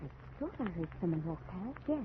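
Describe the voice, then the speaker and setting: A woman's voice: on the low side, sing-song, fairly quick, narrow, tinny audio. An actress playing a concerned, reproachful wife who stands her ground in an old-time radio drama, earnest and dramatic, on a thin, noisy vintage recording. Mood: uneasy.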